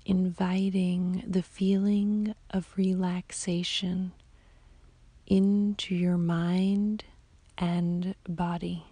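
A woman guiding a sleep meditation as a yoga nidra teacher, in a soft, whispery, soothing voice with long, unhurried pauses. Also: on the low side, little pitch movement, breathy edge, no room sound. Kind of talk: slow guided sleep meditation.

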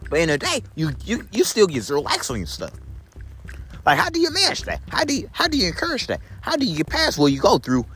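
A young man with a deep voice vlogging to his phone camera while walking outdoors in the rain. He repeats his phrases over and over and keeps calling his viewers "guys". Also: fairly bright tone. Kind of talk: rambling phone vlog on a walk.

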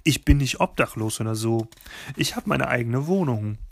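A man's voice: medium pitch, animated, normal speed, vocal fry. A man reading a kindly old street musician. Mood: friendly. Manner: friendly, chuckling, folksy. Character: cheerful elderly male street accordion player. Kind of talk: reading a story aloud.

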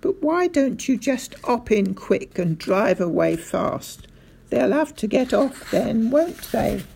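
A woman voicing a brisk, sly male village police sergeant in an audiobook, hearty and mock-innocent, dropping aitches. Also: fairly high pitch, sing-song, vocal fry, warm tone, breathy edge, pitched above the performer's own voice, heavily acted.

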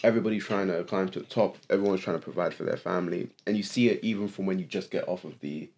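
A young man, born to a Ghanaian family, co-hosting a casual podcast and teasing his friend with loud mock outrage. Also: low-pitched, fast. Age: young adult. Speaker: podcast co-host. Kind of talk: casual banter.

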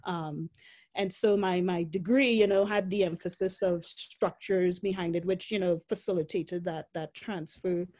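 A woman's voice: fairly low pitch, fairly even intonation, measured pace, a little mellow. A woman in her forties from Trinidad, with a Trinidadian accent, telling her story as an interview guest, reflective and laughing often between fillers.

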